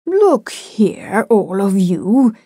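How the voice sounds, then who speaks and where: A woman's voice: mid-range voice, big pitch swings, measured pace, mellow, no room sound, studio quality. A woman voicing a petulant, cowardly father of young children in a storybook reading, indignant and self-pitying. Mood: coaxing.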